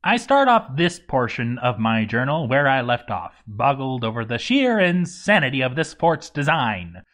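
A man's voice: mid-range voice, sing-song, normal speed, a bit bright. A man voicing a grouchy, boozy zombie dwarf overseer in a game journal story, stammering and exasperated. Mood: exasperated, baffled. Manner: stammering, exasperated, hammy delivery. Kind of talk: dramatic reading of a game journal.

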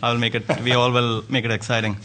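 A man's voice: medium pitch, flat delivery, fast, noisy background. A man introducing himself at a conference panel in a friendly, jokey manner. He sits at a panel table in a hotel conference room. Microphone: table microphone.

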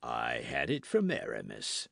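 A man voicing a quiet, patient, sly musketeer with priestly leanings in an audiobook, measured and composed. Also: extremely low pitch, huge pitch swings, gravelly, no room sound, studio quality, pitched below the performer's own voice, full character voice. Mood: calm, patient.

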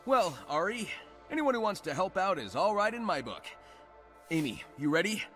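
A man's voice: sits high, very animated, moderate pace, a bit bright. A man voicing a cocky, upbeat teenage hedgehog hero in a video game, breezy and wisecracking. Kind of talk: animated video game cutscene acting. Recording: music under the voice.

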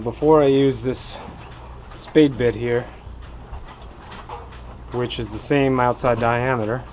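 A man in his thirties casually narrating a how-to project on a docked boat, easygoing and quick to laugh at himself. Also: medium pitch, a little mellow, a little hiss or hum. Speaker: fishing video host.

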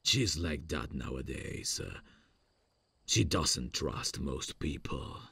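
A man voicing a lovesick, childlike, awkward slasher killer in a horror-parody ASMR roleplay, halting and shy in a gravelly, husky low voice. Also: lively intonation, a bit bright, no room sound, heavily acted. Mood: halting, pleading. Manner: halting, giggly, shy, slow. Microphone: close dry microphone.

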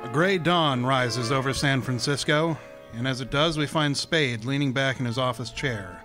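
A man's voice: mid-range voice, moderate pace, close to an everyday voice. Man as noir radio narrator over music. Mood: dramatic. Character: male narrator of a noir detective tale. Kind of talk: scripted radio drama narration. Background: music under the narration.

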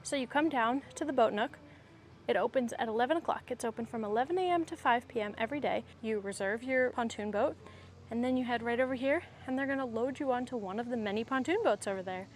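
A woman's voice: a little high, quick pace, slight background noise. A woman hosting a casual, chatty travel vlog outdoors, with an upbeat, playful manner. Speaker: vlog host. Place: outdoors, mostly on a boat on a lake.

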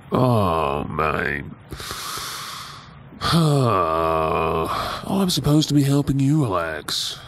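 An Australian man playing an affectionate, playful and caring husband in an intimate ASMR roleplay, soft-spoken and teasing. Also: mid-range voice, a little hiss or hum, heavily acted. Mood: contented, teasing.

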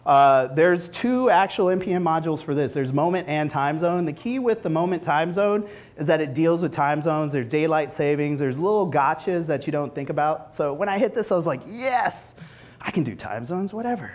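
A man in his forties presenting informally at a tech meetup, conversational, with animated asides, speaking into a lapel mic. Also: a little high, normal speed. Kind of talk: informal technical presentation with demos. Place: meetup room, standing before a small audience.

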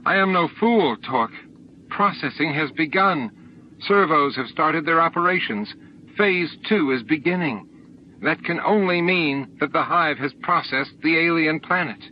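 A man's voice: medium pitch, moderate pace, a little hiss or hum, voice pushed up, close to an everyday voice. A man voicing an emotional, accusing female alien in an audiobook. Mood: accusing fury. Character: anguished, furious female alien with a shell. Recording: dull lo-fi audiobook tape recording.

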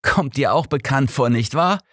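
A man's voice: mid-range voice, animated, normal speed, fairly bright tone, no echo, clean studio sound, pitched above the performer's own voice. A man voicing a cruel, vengeful grown son who taunts his victim in an audiobook. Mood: cruel, menacing. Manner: taunting, menacing.

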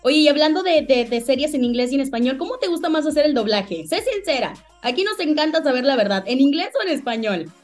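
A young woman hosting an interview from a radio studio, speaking into a studio mic in an upbeat way with quick affirmations. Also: sits high, animated, soft background music. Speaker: radio host. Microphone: studio mic with headphones.

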